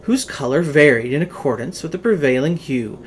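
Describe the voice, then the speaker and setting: A narrator reading a horror tale in a theatrical, dramatic way with drawn-out emphasis. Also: mid-range voice, animated, slight background noise.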